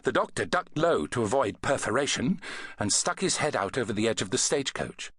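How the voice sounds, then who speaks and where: A man reading an adventure novel as an audiobook narrator, in an expressive, dramatic storytelling manner. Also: medium pitch, fairly quick, husky, dry recording, studio quality.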